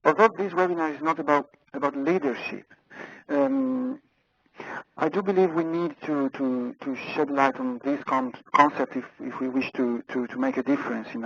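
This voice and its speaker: A man's voice: a little high, vocal fry, fairly bright tone, no room sound. A man with an Italian accent presenting a webinar over a phone handset, hesitant, with many fillers and repeated words. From Italy. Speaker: webinar speaker. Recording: muffled phone line.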